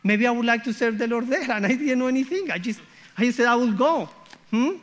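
A man's voice: very high voice, brisk. A man, sharing his church testimony as a guest missionary in an earnest way that often checks in with "okay?" and "hmm?". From Colombia.

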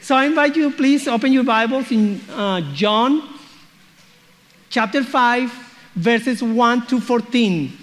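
A man with a Spanish accent preaches to a congregation, his voice sitting high for a man. He reads scripture and playfully draws the listeners in with questions. A long church-hall reverb trails behind him. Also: slow, a bit bright. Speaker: guest preacher. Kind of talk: sermon with scripture reading.

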